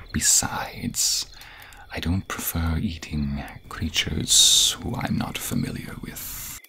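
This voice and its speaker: Casting: gentle, grateful snake-man played by a man for ASMR fantasy roleplay; crisp, sibilant-bright whisper, extremely slow formal delivery. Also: low voice, big pitch swings, full character voice. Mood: gently amused. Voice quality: breathy whisper. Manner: slow, hushed, formal archaic phrasing. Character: gentle male naga, grateful nocturnal snake-man guardian.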